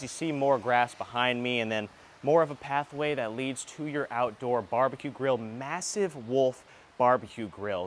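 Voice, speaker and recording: A man hosting a casual walk-through property tour as a real estate agent, speaking in an enthusiastic, informal, salesy way. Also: medium pitch.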